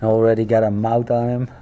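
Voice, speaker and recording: A man in his thirties chatting casually on a live stream from a small office, with a naturally loud voice and relaxed chuckling asides, into a headset mic. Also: lowish voice. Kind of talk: casual chat while drawing on stream. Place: small office room, indoors. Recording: dull lo-fi stream audio.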